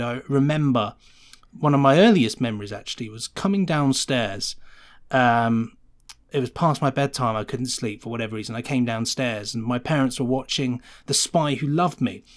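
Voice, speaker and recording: A man talking casually as a podcast guest, in a chatty, rambling way. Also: mid-range voice. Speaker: podcast guest, sound designer. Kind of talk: casual conversational interview.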